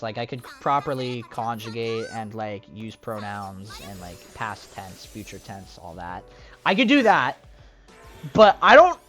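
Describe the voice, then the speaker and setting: A young woman with a very deep voice rambles casually over gameplay, hedging and restarting as she talks. Her pitch swings widely from low mutters up to sudden high bursts, while soft music plays behind her. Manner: rambling, self-deprecating, with frequent fillers and restarts. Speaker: gaming streamer.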